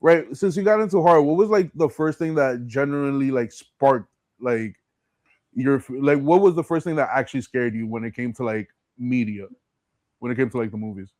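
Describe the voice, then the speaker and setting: A man's voice: medium pitch, brisk, darkish tone, no echo. A middle-aged American man hosting a podcast in casual, slangy, streetwise conversation. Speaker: podcast host. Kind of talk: casual chat.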